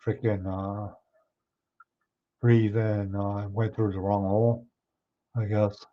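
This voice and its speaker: A man hosting a livestream, chatting casually with viewers and answering their comments, his voice a bit hoarse. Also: on the low side, little pitch movement, slow pace. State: hoarse, clearing his throat. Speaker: livestream host. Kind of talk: casual chat with live viewers. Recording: somewhat dull, lo-fi stream audio.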